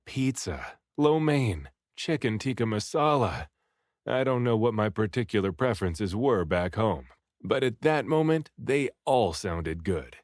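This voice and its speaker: A man narrates an adventure story with lively, swooping intonation. He reads expressively, playing up the first-person hero's words. The recording is dry and close, with little room sound. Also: fairly low pitch, a little slow, no room sound. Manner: animated, dramatic, acts out the first-person hero. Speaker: audiobook reader. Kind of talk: expressive scripted story narration, read aloud.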